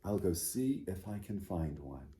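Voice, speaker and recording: A man who is a pastor hosting storytime, talking and reading to children in simple, unhurried phrases with questions to young listeners. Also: sits low, very animated, warm tone, a little hiss or hum. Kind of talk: gentle storytime talk and picture-book reading for children.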